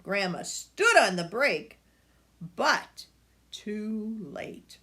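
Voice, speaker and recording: A woman's voice: mid-range voice, very animated, drawn-out words, sharp tone. An older woman reading a children's story aloud, with asides to her young listeners. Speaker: storybook reader.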